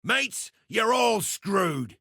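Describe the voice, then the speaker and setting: A brash pirate brawler from a video game who revels in violence. His gravelly, fairly high voice is sharp and forceful, taunting with rowdy swagger. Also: sing-song, extremely slow, no echo, clean studio sound, exaggerated voice. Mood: rowdy, aggressive. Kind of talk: video game combat barks.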